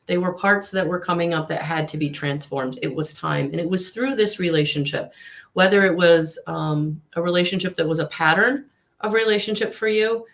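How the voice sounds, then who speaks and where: Husky-voiced woman giving a tarot reading. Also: on the low side, normal speed. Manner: soothing, repetitive, frequent ums. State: hoarse from a recent illness. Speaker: tarot reader. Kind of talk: live tarot reading to viewers.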